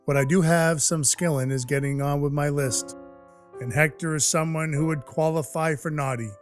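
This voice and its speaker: A man's voice: fairly high pitch, steady tone, normal speed, soft background music, close to an everyday voice. A man playing a brash, wisecracking dwarf who is Santa's helper, narrating and acting in an audio play with blunt, wry phrasing. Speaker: narrator and lead character.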